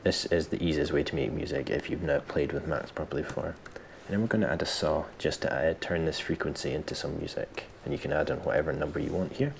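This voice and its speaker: A man with an extremely low voice and plenty of gravelly fry walks through a software tutorial. He is informal and self-deprecating, thinking aloud as he goes. A faint background noise sits under the recording. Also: steady tone, fast, hardly any pauses. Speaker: tutorial presenter.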